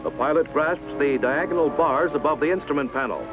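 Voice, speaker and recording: A man's voice: a little high, a little fast, music in the background. A man reading scripted 1960s military training film narration in a formal, instructional way. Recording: dull vintage film soundtrack.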